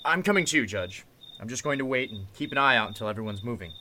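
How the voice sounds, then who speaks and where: A man playing a calm, commanding lawyer in an old-time radio mystery drama, speaking in a measured way. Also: mid-range voice, slight background noise. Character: calm, take-charge male lawyer carrying a gun.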